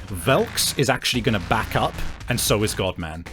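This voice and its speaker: A man's voice: lowish voice, animated, moderate pace. Man as game master, music behind. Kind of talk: narrating a tabletop roleplaying game.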